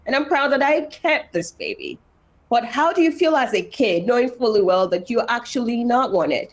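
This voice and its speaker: A woman's voice: fairly high pitch, lively intonation, moderate pace. A woman in her thirties from Nigeria with a Nigerian accent, telling her life story earnestly as an interview guest. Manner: earnest, emphatic, repeats phrases for weight.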